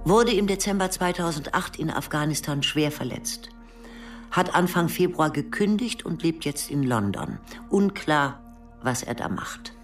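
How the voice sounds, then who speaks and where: Spy chief in her sixties, very deep female voice, measured, coolly persuasive, radio drama, slightly distant with faint music. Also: animated. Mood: controlled, matter-of-fact. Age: older. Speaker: actor in radio play. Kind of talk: German radio drama dialogue.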